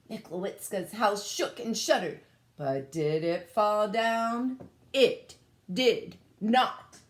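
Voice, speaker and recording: A woman reading a storybook aloud to children in a dramatic, emphatic, expressive way. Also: fairly high pitch. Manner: dramatic, emphatic, engages listeners with questions. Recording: echoey room.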